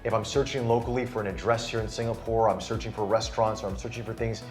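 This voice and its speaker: A man's voice: lowish voice, fairly even intonation, quick pace, faint music behind. A man reviewing a phone in casual chat, enthusiastic and demonstrating it hands-on. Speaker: tech reviewer. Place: Singapore studio.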